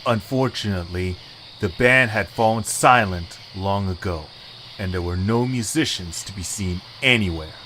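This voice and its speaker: A man's voice: low voice, normal speed, bright, forceful tone, a little hiss or hum. Male storyteller with crickets behind. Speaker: narrator. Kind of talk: scripted story narration.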